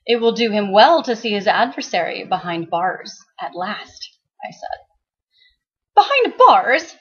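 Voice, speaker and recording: Woman, husky voice, dramatic audiobook reading, expressive, theatrical. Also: medium pitch, normal speed, muffled recording. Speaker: author and actress reading a book excerpt.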